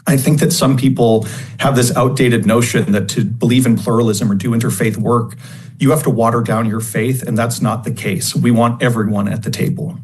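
A man representing an interfaith organization, speaking on a panel in a measured, explanatory way. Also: mid-range voice, fairly even intonation, a little mellow. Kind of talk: panel discussion remarks.

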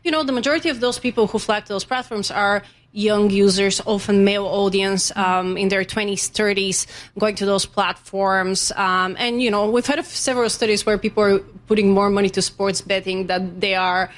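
A woman speaks as an interviewed journalist. Her voice sits at a middle pitch, and she explains things in a conversational, expert way. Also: fairly even intonation, normal speed. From Bulgaria. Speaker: financial journalist being interviewed.

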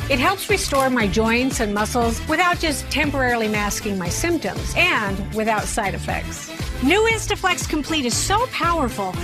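High-voiced woman reading an ad. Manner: upbeat sales pitch. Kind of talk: ad read with testimonial.

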